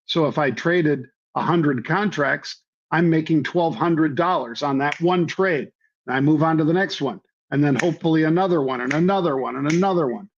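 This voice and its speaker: A man with a slightly hoarse voice, a trading guest explaining things in a folksy way with many ums and repeated phrases. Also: fairly high pitch, moderate pace, a little hiss or hum. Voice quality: hoarse from years of floor yelling. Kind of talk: conversational explanation. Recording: dull lo-fi audio.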